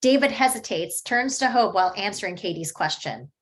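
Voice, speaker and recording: A woman reading the stage directions at a screenplay table read in a steady, measured way. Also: medium pitch.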